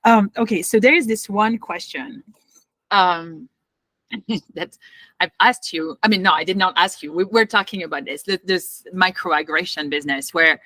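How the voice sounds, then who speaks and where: Bright-voiced woman with a French accent. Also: on the low side, moderate pace, no room sound. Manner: animated, chatty, with laughter and fillers. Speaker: podcast host. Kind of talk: interview conversation.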